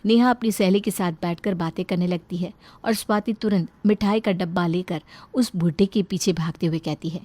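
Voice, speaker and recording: A woman's voice: on the low side, normal speed, warm tone, no echo. Woman narrator, dry recording. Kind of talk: scripted story narration.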